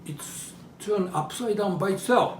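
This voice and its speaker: A man's voice: sits high, measured pace. A man from Japan with a Japanese accent gives a live show-and-tell demonstration, often checking in with his audience through short questions. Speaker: presenter demonstrating traditional toys. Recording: roomy, distant mic with background noise.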